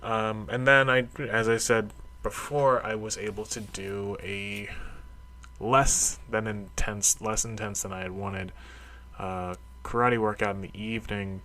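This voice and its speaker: A man's voice: lowish voice, flat delivery, a little slow, sharp tone. A middle-aged man talking casually in a solo audio diary, reflective and rambling, with a self-deprecating streak. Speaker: karate practitioner keeping a training log.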